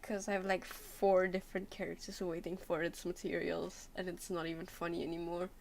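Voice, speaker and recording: A woman's voice: fairly low pitch, level tone, a little fast, gravelly, a little mellow, slight background noise. A young adult woman chatting casually on a game livestream, hesitant and rambling as she thinks aloud. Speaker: game streamer.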